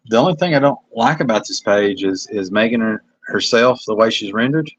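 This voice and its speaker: A man's voice: on the low side, lively intonation, fairly quick, no room sound, muffled recording. A man with a Kentucky accent, a comic artist talking casually and self-critically about his pages on a livestream. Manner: rambling, self-critical, hesitant. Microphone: phone.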